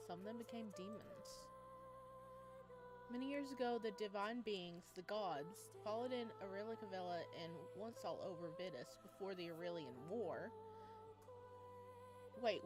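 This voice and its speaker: A reactive, giggly woman streaming a visual novel, commenting and reading its text aloud, with music behind. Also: medium pitch. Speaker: game streamer.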